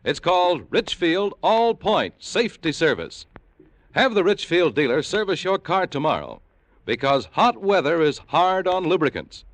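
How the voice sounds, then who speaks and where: A man's voice: a little high, very animated, unhurried, no echo. An American man reading a scripted old-time radio commercial as an announcer.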